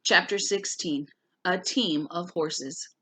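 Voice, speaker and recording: A woman's voice: fairly low pitch, slow, bright, forceful tone, slightly rough, dry recording. A woman teacher talking through and reading a class novel aloud for students, explaining things simply. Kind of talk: casual spoken recap and story read-aloud for students.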